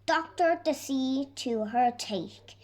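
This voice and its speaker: A woman voicing a cheerful, muddled male storybook character in a halting, emphatic manner. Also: high-pitched, darkish tone. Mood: cheerful, puzzled. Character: cheerful muddled man who talks back to front.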